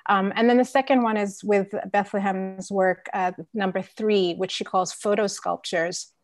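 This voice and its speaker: A woman's voice: medium pitch, steady tone, normal speed, dry recording. A middle-aged woman who grew up in Canada, speaking as a curator on a video call in an articulate, reflective way. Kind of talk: panel discussion on video call. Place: room at home. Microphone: video call with earphones.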